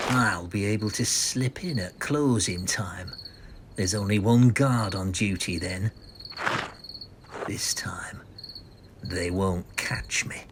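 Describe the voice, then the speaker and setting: An actor playing a deranged, vengeful failed painter, menacing and ranting in a melodramatic audio comic. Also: male voice, lowish voice, slow pace, long pauses, heavy background noise. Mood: menacing plotting.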